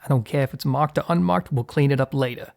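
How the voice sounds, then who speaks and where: A man playing a gruff, short-tempered mob boss in an ASMR roleplay, with a gravelly, husky voice. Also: mid-range voice, quick pace, lightly acted. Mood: commanding, businesslike. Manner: commanding street-tough slang with crew, flustered hushed stammer with partner. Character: gruff, short-tempered male mob boss hiding his work from partner.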